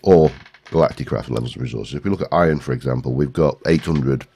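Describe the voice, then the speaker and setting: A man's voice: very deep voice, fast, warm tone, slight background noise. A man with a stuffy head cold, hosting a gaming video with casual, rambling commentary and lots of ums. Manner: rambling, talks to himself while searching, many ums.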